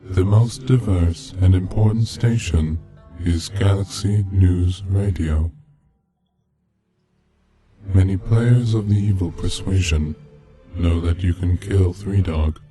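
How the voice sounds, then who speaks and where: Man, very low pitch, extremely mellow dark tone, measured scripted narration, faint music behind. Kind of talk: scripted narration of a video game mystery. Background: background music.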